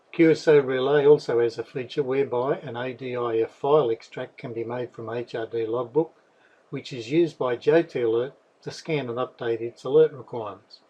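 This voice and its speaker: Husky man narrating a tutorial. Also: medium pitch, mellow, muffled recording. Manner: methodical, instructional. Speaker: software developer presenting a tutorial.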